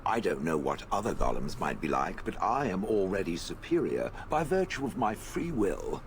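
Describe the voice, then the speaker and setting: An actor playing a sardonic stone golem from a fantasy video game, haughty and contemptuous of people. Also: male voice, sits low, big pitch swings, a little hiss or hum. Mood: defensive, haughty. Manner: haughty, sardonic, deliberate phrasing.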